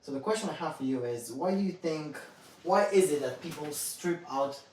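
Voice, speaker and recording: A man hosting a conversational interview, deliberately rephrasing his points as he speaks. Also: a little high, animated, a little mellow, slight background noise. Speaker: podcast host, interviewer. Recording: strong room echo, distant microphone.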